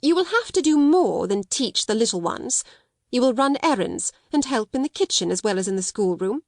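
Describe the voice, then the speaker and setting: A woman's voice: on the high side, lively intonation, moderate pace, dry recording, studio quality, voice pushed up. A woman reading the part of a stern, domineering headmistress, speaking coldly and sharply. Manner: cold, sharp, scolding. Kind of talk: audiobook character reading.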